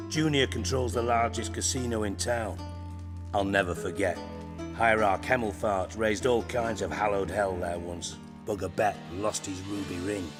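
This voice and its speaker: An actor playing a cunning, middle-aged ex-spymaster turned crime boss in a video game, gruff and blunt, with a wry, salty tongue. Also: male voice, fairly low pitch, lightly acted. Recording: reverberant hall, music behind.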